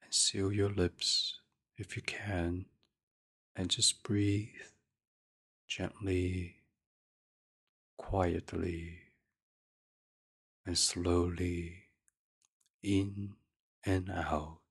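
A man hosting a guided sleep meditation, speaking softly and gently in a near-whisper with long pauses. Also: low voice, flat delivery, breathy edge. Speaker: meditation podcast host.

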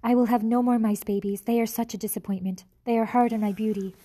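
A woman's voice: a little high, fairly even intonation, quick pace, warm tone, close to an everyday voice. A woman doing a vain, dramatic French mother mouse. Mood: melodramatic. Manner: theatrical, sighing, self-pitying.

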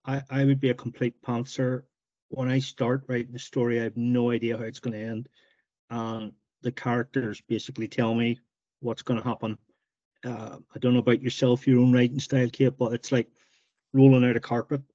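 A man's voice: medium pitch, fairly quick, no room sound. Plain-spoken man on muffled call audio. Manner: relaxed, plain-spoken, with stumbles and repeats. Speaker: author being interviewed. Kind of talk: casual interview chat.

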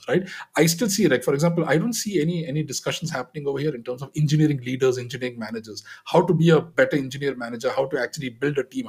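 A man in his forties from Dubai speaks as a podcast guest, quickly and loosely, with frequent restarts. His voice has a husky grain, and his pace is brisk throughout. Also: medium pitch. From Dubai, UAE. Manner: quick, animated talk with frequent restarts and "right?" tags. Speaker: podcast guest, developer advocate. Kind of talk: casual interview chat.

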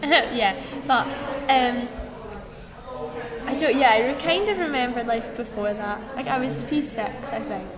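Soft-spoken young woman, giggly. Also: fairly high pitch, measured pace, crowd noise. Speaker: podcast co-host. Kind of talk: casual chat. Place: busy cafe.

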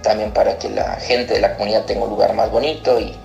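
A man's voice: lowish voice, level tone, fast talker, vocal fry, a little mellow, highs cut off. A man in his thirties, raised in Costa Rica and born in Argentina, explaining his work as a pastor in an interview. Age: 30s. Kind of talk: recorded interview answer, explanatory. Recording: echoey room, music underneath.

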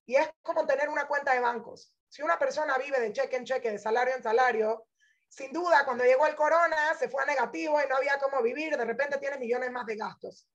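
A woman's voice: high voice, fast talker. A woman, teaching through personal stories in an informal religious talk, dropping in English phrases. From born in Venezuela, grew up in Panama. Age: 30s. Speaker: Torah teacher. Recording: dull lo-fi audio.

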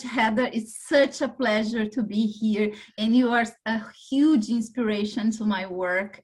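A woman from Brazil with a Brazilian Portuguese accent, a psychologist answering interview questions in a measured, thoughtful way, sometimes searching for words. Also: medium pitch, a little mellow, low-quality recording. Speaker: psychologist guest.

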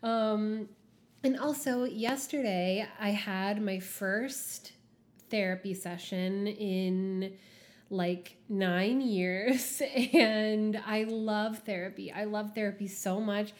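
A young woman talks casually on her solo podcast at a middle pitch, filling her sentences with "like" and small laughs. Her voice comes out stuffy and slightly husky, as she is under the weather. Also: fairly even intonation, takes it slowly. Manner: chatty, frequent "like" fillers, laughs often. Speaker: podcast host.

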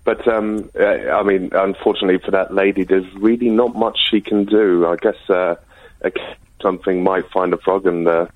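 A middle-aged man speaks over a narrow phone line to a radio show. His voice is low and slightly croaky with a head cold. He explains things casually, with a mild, hesitant manner. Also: fairly even intonation, moderate pace, no room sound. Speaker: phone-in caller.

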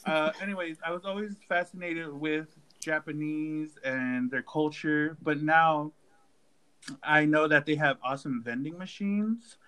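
A fairly high-voiced man talking fast and loose with friends, jokey and animated, with "like" dropping in everywhere. He bounces from point to point with a teasing grin in his voice. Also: low-quality recording. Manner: animated, joking, uses "like" often. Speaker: group chat member. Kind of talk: casual group chat.

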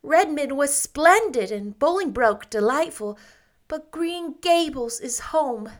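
A woman reading aloud and voicing an effusive, dreamy young woman in a lilting, gushing, high voice. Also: animated, takes it slowly.

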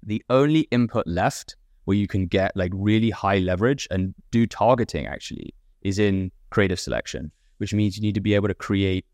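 British guy, kinda americanized, low voice, dry close sound, says like a lot. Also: no echo. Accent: British, softened by years in America. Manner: relaxed, explanatory, leans on "like" and "basically". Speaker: startup founder guest. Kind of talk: casual podcast interview.

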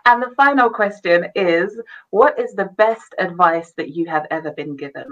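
A woman's voice: fairly low pitch, moderate pace, lo-fi sound. A woman hosting a faith-based podcast, interviewing a guest in an encouraging, easy conversational way. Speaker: podcast host.

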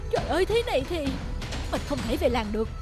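A woman voicing a dramatic, boastful, guilt-ridden young male hunter in an animated folk tale, given to exclaiming and talking to himself. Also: high-pitched, fast. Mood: panicked. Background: music.

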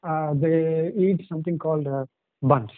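A man's voice: a little high, fairly even intonation, unhurried, no room sound. Hesitant Indian English man over phone line. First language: Telugu. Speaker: podcast guest. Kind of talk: casual interview, telling travel stories. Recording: dry, tinny phone-like line.

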